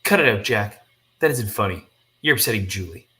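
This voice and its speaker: A man's voice: on the low side, animated, a little fast, sharp tone. A man voicing an earnest, protective eldest boy from a comic, a little wistful. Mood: scolding.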